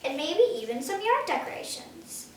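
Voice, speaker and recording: High-voiced young girl reading, very echoey. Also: very animated, normal speed, a bit bright. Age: child, ten years old. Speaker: story reader. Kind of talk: children's storybook read-aloud. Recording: very echoey room, distant mic, faint noise.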